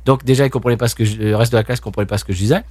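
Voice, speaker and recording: A man hosting a podcast, chatting casually and unscripted, relaxed and hesitant, laughing easily. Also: mid-range voice, racing, no room sound. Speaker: podcast host.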